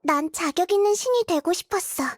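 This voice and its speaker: A woman voicing a small, gentle child goddess in a game, soft-spoken, thoughtful and wistful, with measured phrasing. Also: extremely high pitch, lively intonation, no echo. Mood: wistful, resolute. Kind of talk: Korean game voice acting, introspective monologue.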